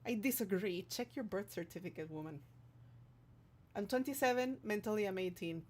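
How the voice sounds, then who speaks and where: A woman's voice: mid-range voice, moderate pace, a little mellow. A woman hosting a livestream, reading out viewer comments and chatting casually in a playful, affectionate way. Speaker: streamer hosting a livestream, singer-songwriter.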